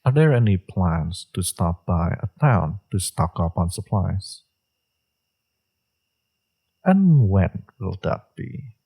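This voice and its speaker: A man playing a smug, seductive villain in a romantic audio roleplay, teasing and sure of himself, with a low, gravelly, husky voice. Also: big pitch swings, mellow, heavily acted. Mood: smug, flirtatious. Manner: teasing, self-assured, unhurried.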